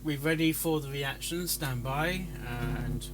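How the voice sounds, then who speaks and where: A man's voice: mid-range voice, slow, music in the background. A man chatting casually as a live-stream reactor, stumbling over his words and interrupting himself. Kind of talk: casual live-stream chat with rehearsed intros.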